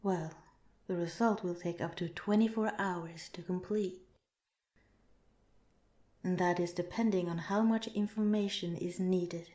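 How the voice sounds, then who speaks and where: A calm alien scientist, acted by a woman speaks in a lowish, very mellow voice. The delivery is soft, measured and politely detached, in the manner of an ASMR roleplay. The sound is noticeably roomy and echoing. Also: close to an everyday voice. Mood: calm, clinical. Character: calm, clinical alien researcher reassuring a captive human.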